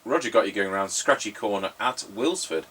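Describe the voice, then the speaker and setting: Middle aged bloke rambling through stories on a radio test, bit low voiced, mic sounds far away. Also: male voice, animated, fairly quick, pushed and bright. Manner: rambling, anecdotal. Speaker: radio repairer and presenter. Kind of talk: casual chat and radio test commentary. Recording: noisy, distant microphone.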